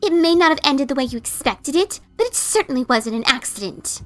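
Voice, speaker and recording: A woman's voice: high-pitched, lively intonation, fairly quick, fairly bright tone. A woman voicing a blunt, resentful pegasus pony mother in a fan dramatic reading, scolding and incredulous. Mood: angry, accusing.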